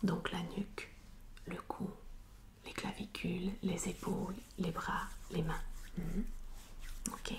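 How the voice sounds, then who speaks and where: A woman speaks in a steady, breathy whisper with very little melodic movement. She plays a soothing chiropractor in an ASMR roleplay, explaining each step gently with small hesitations. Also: fairly low pitch, slow, pauses often.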